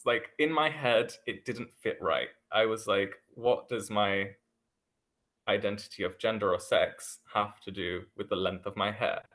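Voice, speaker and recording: A young man speaking as a panel guest, thoughtful and measured, with frequent ums. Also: lowish voice, a bit bright. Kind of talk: casual panel discussion.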